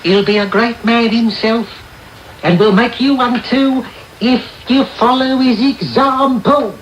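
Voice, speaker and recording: A man's voice: very high voice, unhurried. An actor in a radio drama playing a sly, wheedling old man who leads young pickpockets, with coaxing, theatrical delivery. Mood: fatherly, sly. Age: older. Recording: roomy, noisy medium-wave radio tape.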